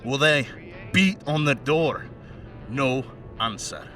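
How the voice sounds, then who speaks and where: A man playing a mocking, menacing crime henchman with a put-on Scottish accent in an audio play monologue, chatty and sardonic with threatening pauses. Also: mid-range voice, sharp tone, recorded from a distance, faint music behind. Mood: sardonic storytelling. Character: menacing, mocking male crime henchman taunting a bound captive.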